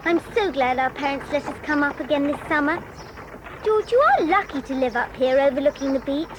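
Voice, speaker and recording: An actress voicing a gentle young girl cousin in an audio drama, talking in a soft, earnest way. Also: high voice, expressive, brisk, noisy background. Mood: sweet. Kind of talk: 1980s audio drama acting.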